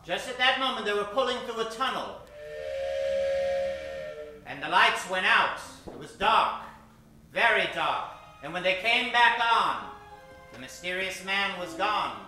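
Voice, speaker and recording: A man's voice: high-pitched, sing-song, measured pace, pauses often, roomy sound, loud music behind the voice, low-quality recording. A man playing the storyteller narrator of an old-time radio adventure serial.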